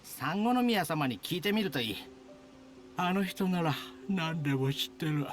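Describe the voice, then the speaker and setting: A man's voice: fairly high pitch, brisk, soft background music, lightly acted. A man playing a laid-back, aloof soldier who talks in an offhand way. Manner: relaxed, offhand. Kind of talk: Japanese game dub.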